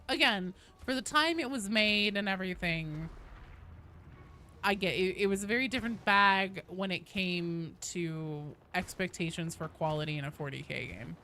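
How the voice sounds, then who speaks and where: A woman's voice: mid-range voice, animated, a little slow, sharp tone. A woman game streamer chatting casually with viewers, informal and sweary, reading and answering their comments as she goes. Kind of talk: casual chat with viewers while gaming. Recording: faint background noise.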